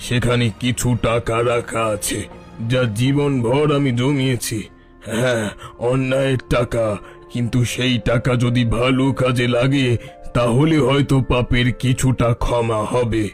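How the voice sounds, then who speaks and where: An old bandit chief from a cartoon story, acted by a man. He is tender and repentant, speaking solemnly like a father to his men. His mid-pitched voice sits over soft background music. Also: flat delivery, a little slow, lightly acted. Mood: solemn regret. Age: older. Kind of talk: Bengali cartoon story dialogue.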